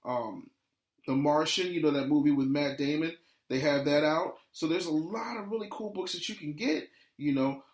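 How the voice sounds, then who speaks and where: High-voiced man hosting in an echoey room. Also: moderate pace. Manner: hyped, slangy, effusive. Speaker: podcast host. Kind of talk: casual interview and ad read. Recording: roomy, echoey sound.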